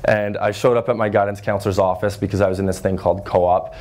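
A man in his twenties from Northern Ontario with a loud voice, giving an earnest talk to a high school class with lots of fillers and restarts. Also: level tone, fast. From Northern Ontario, Canada. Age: 20s. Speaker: guest speaker. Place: high school classroom with chalkboards, audience seated.

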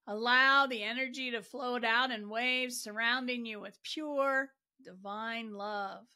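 A woman leading a guided meditation, narrating in a gentle, soothing and deliberate manner. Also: on the high side, steady tone.

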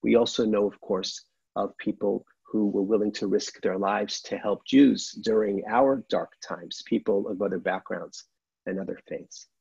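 A middle-aged man, a rabbi, giving a measured, earnest sermon from a sunlit room at home over a laptop webcam. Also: fairly low pitch, creaky, darkish tone, slightly rough, no room sound. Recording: dull, dry webcam audio.

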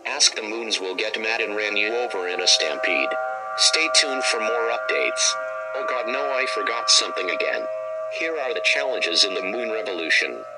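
A man's voice: on the low side, animated, normal speed, over loud music, rough recording. A man delivering scripted, ominous emergency broadcast announcements in the role of an alert announcer.

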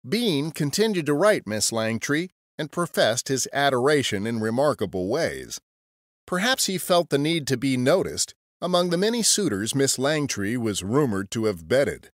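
Man narrating history with lively, swooping delivery. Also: mid-range voice, dry recording, clean studio sound. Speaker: podcast host and narrator.

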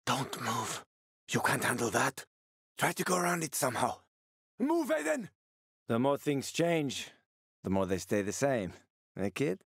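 A wry survivor, dry close recording. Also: male voice, medium pitch, big pitch swings, unhurried, vocal fry, pauses often, fairly bright tone, breathy edge, no room sound, full character voice. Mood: urgent, then wry, subdued. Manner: casual, sardonic, conversational. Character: wry, world-weary male survivor and smuggler. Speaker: video game voice actor. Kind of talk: video game character dialogue.

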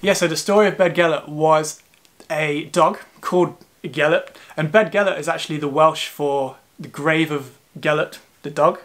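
A man's voice: on the high side, normal speed, fairly bright tone. A young man in his twenties talking casually to the camera from his living room sofa, chatty and self-deprecating. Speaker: travel vlogger. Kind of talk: casual travel vlog talk.

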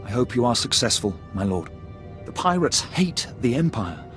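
A junior Imperial ensign from a video game. He reports crisply and deferentially in a medium-pitched, worried voice, with soft music behind. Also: very animated, normal speed. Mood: formal, worried. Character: formal, anxious male junior Imperial ensign. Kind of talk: scripted video game acting.

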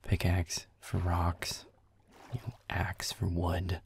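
A man's voice: low voice, monotone, slow pace, vocal fry, husky, no echo, exaggerated voice. A man playing a grumpy, defensive werewolf boyfriend in a roleplay audio, muttering sarcastically as he plays a video game. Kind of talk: roleplay audio, gaming chat with listener.

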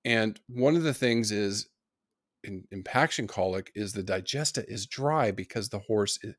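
A man's voice: lowish voice, lively intonation, moderate pace, no echo, clean studio sound. A middle-aged man lecturing on horse nutrition, giving practical tips in an online course. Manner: practical, advice-giving explanation.

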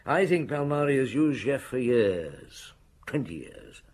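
An actor playing a weary, shrewd senior police inspector, gruff and commanding, in a radio drama. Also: male voice, medium pitch, very animated, measured pace, darkish tone, a little hiss or hum. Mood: accusing, explanatory.

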